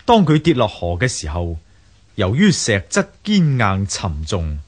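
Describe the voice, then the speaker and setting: A learned, self-assured gentleman in a radio drama. His lowish, husky voice moves expressively through deliberate explanations. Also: wildly swooping pitch, full character voice. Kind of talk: Cantonese radio drama acting.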